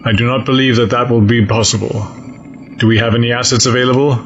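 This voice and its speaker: A man acts a grave fleet admiral in an audio drama. He is measured, formal and coldly logical, at an average male pitch. The recording is dull with faint noise. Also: steady tone, fairly bright tone, close to an everyday voice. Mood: resolute. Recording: dull lo-fi sound, audible noise.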